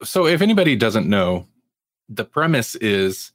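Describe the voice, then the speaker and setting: A man's voice: lowish voice, big pitch swings, measured pace, dry recording, studio quality. A man co-hosting a podcast, chatting casually and in a rambling way about television. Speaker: podcast co-host. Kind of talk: casual review chat about TV.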